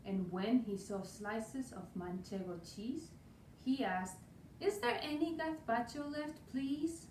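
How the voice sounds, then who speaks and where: A woman in her forties from Spain with a Spanish accent, reading a rhyming children's picture book aloud in a lively, rhythmic way, sprinkling in Spanish words. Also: fairly high pitch, slow pace. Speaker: storytime reader. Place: small room indoors. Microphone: far camera. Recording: roomy, distant, faint hiss.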